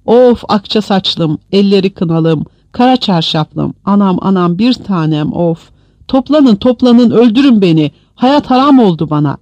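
A man's voice: sits high, animated, a little slow, dry recording, voice pushed up. A man voicing an anguished, crazed male prisoner who wails and cries out desperately in a dramatized audiobook. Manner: loud, wailing, desperate cries.

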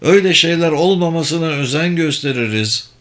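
A man's voice: fairly high pitch, animated, moderate pace, fairly bright tone, voice pushed up. A man voicing a superior, persuasive sorcerer in an audiobook, speaking formally and insistently. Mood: explaining, assured. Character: persuasive, superior sorcerer who runs secret political games.

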